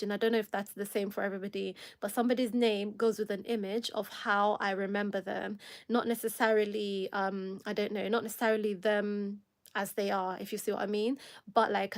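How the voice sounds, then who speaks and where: Kenyan-accented woman host, casual rambling livestream talk, quick laughs and restarts, mid pitch, a touch tired. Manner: rambling, self-correcting, laughs often.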